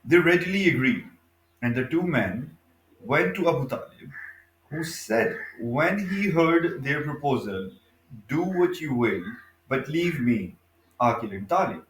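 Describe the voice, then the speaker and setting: A man reading a biography aloud as scripted narration, in a halting way, often stumbling and correcting himself. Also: a little high, sing-song, fairly bright tone. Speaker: audiobook reader. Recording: echoey, reverberant room.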